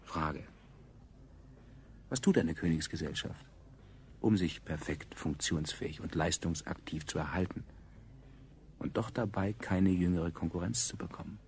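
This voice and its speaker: A man acting as the measured, dryly ironic narrator of a 1970s German science fiction radio play. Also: low-pitched, a little mellow. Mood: detached, ironic. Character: male narrator recounting a dystopian future, detached and ironic. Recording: 1970s radio recording, faint noise.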